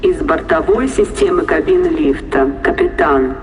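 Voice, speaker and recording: An actor playing the obedient computer voice of a spaceship in a science fiction audio drama, clipped, formal and procedural. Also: male voice, high voice, huge pitch swings, normal speed, faint music behind, heavily acted. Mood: impassive, formal.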